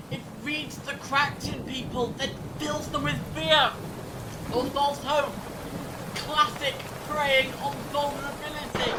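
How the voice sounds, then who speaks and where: An actor playing a clever, grave time-travelling adventurer in a fan audio drama, explaining things in a measured, dramatic way. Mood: grave, explanatory. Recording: distant mic, rumbling storm-like noise.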